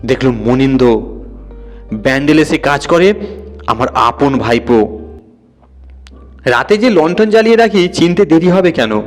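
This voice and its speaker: A man's voice: fairly high pitch, expressive, measured pace, long pauses, faint music behind. A man voicing a boastful, indignant householder who plays both victim and hero in an audio story. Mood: excited bragging. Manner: indignant, blustering, self-important.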